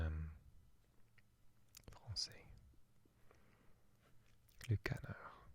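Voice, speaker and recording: A man whispering softly in an ASMR reading, musing aloud and sounding out foreign words. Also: deep voice, husky. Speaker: ASMR creator.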